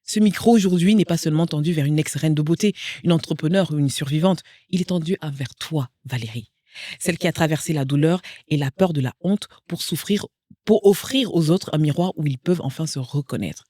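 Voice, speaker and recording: A woman's voice: low voice, brisk, dry recording, clean studio sound. A woman in her forties hosting a podcast interview, reading a poetic introduction before chatting with her guest. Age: 40s. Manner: reads a lyrical, poetic introduction, then chats warmly. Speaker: podcast host.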